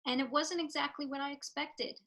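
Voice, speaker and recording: Bright, earnest New York woman. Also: high-pitched, steady tone, a little fast. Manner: reflective, earnest, with fillers. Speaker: real estate professional. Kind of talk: interview answers.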